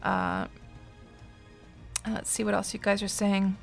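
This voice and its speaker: A woman's voice: mid-range voice, sing-song, slow pace, vocal fry, faint music behind. A middle-aged woman, chatting casually and expressively with viewers as a live streamer.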